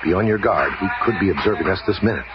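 An actor playing an earnest, commanding superhero leader in a radio drama, authoritative and brisk. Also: male voice, low voice, animated, over a noisy crowd. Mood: calm, commanding. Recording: muffled, noisy old radio recording.

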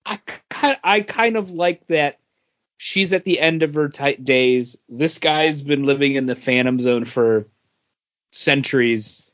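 A man co-hosting a podcast, talking casually about comics and feeling his way haltingly through his thoughts. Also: medium pitch, fairly bright tone, lo-fi sound. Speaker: podcast co-host. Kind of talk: casual comic book review chat.

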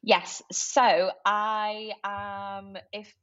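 A woman's voice: medium pitch, extremely slow, no echo. Casting: young Liverpudlian woman, gabby and upbeat guest, dry close sound, slightly dull lo-fi audio. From Liverpool, England. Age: young adult. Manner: chatty, lively, many fillers like "like" and "um". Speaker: tech recruiter, podcast guest. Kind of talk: casual interview chat.

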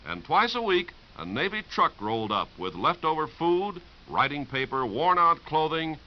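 American guy announcer, old 50s radio, lively pitch, muffled with a bit of hiss. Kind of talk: 1950s scripted radio narration. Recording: dull, hissy 1950s radio recording.

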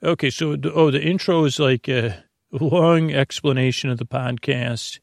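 A man with a creaky voice hosting a bedtime podcast in a meandering, halting ramble that keeps interrupting itself and drifting off topic. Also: medium pitch, dry recording, clean studio sound. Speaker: podcast host.

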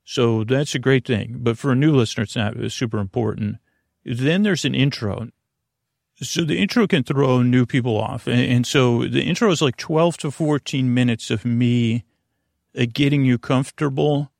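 Male sleep-podcast host: fry-heavy voice, dry sound, meandering, halting, low-pressure informal delivery. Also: a little mellow, no echo. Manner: halting, meandering, repeats and trails off mid-thought. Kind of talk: rambling, informal bedtime podcast monologue.

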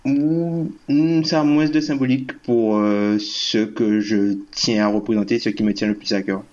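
A man's voice: fairly low pitch, steady tone, a little slow, darkish tone. A man from Guadeloupe on a sports chat panel, thoughtful and searching for his words. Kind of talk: casual basketball chat. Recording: dull lo-fi sound.

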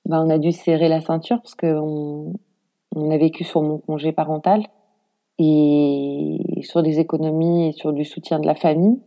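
Low, mellow French woman, conversational. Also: level tone, moderate pace. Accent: French from France. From France. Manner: informal, reflective, thinking aloud. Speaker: interview guest, expatriate mother; preschool worker. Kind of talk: casual conversational interview.

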